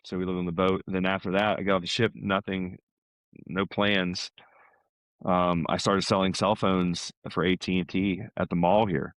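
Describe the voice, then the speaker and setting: A man's voice: low voice, little pitch movement, normal speed, a bit bright, smooth, no echo. A middle-aged American man chatting casually, telling stories in a rambling way. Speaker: podcast guest, salesman. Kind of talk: casual chat.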